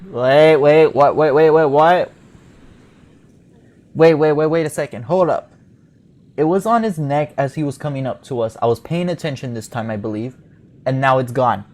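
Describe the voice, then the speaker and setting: A man's voice: fairly high pitch, moderate pace, long pauses, darkish tone. A man giving casual reaction commentary over a video game he is playing.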